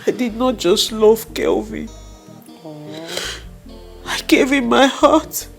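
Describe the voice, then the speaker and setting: A woman's voice: on the high side, slow pace, gravelly, soft background music. A woman playing a heartbroken young woman, tearful, mournful and sighing. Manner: mournful, sighing, self-pitying.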